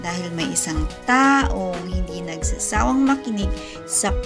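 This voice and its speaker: A woman's voice: lowish voice, expressive, measured pace. A woman acting as an affectionate, teasing young sweetheart in a radio drama, with a Filipino accent. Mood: teasing, fond. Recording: music playing behind the voice.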